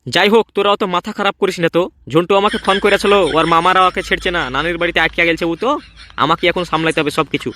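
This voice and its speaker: Man voicing cocky, high-pitched village boy. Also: steady tone, fast talker, pushed and bright. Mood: cocky, bossy. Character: scheming, boastful village boy gang member in comedy cartoon. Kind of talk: comedy cartoon voice acting.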